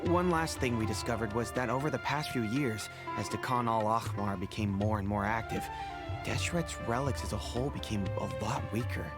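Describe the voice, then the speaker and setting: A man playing an earnest, level-headed mercenary scout in a fantasy game, explaining things in a measured way. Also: lowish voice, over loud music, natural voice. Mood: serious, analytical.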